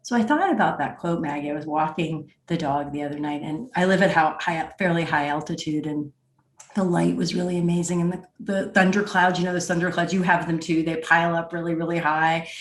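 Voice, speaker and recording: Woman podcast host asking stuff conversationally, kinda low voice, pretty echoey recording. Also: fairly even intonation, fairly quick. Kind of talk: conversational interviewing. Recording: echoey, roomy sound.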